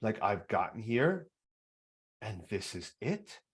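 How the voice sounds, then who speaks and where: An earnest male podcast host with a low baritone voice shares a personal story. He restarts often and repeats words as he gathers his thoughts. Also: very animated, takes it slowly, breathy edge, faint music behind.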